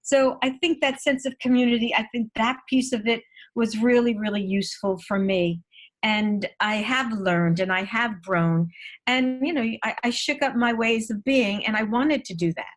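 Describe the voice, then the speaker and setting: Older woman, seventies, higher-than-average voice, conversational first-person testimonial. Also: moderate pace. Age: 70s. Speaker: trip participant giving a testimonial. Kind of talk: casual personal recollection.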